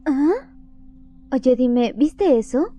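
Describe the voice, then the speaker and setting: A woman voicing a young female security guard who is jumpy and anxious, with a high, squeaky, excitable voice. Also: very slow, full character voice. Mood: nervous. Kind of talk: fan dub acting.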